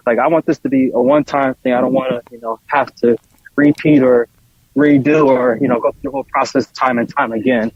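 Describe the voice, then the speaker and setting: A young man with a husky, rough-edged voice chats casually as a panel guest. The recording is dry and a little dull. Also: mid-range voice, steady tone, brisk, no echo. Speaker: roundtable guest. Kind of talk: casual chat. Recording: slightly dull, lo-fi, dry sound.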